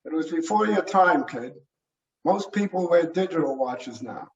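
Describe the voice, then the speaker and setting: A man's voice: fairly high pitch, expressive, measured pace. Man reading as a crusty old sheriff. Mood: gruff, dry. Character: crusty old male sheriff, gruff but fond. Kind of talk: mystery short story reading. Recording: roomy, muffled, distant mic.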